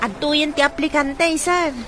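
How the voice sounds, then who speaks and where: A woman's voice: high-pitched, steady tone, moderate pace, music in the background, lightly acted. A woman playing a deferential, friendly, gossipy housekeeper in a radio drama. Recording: clearly noisy background.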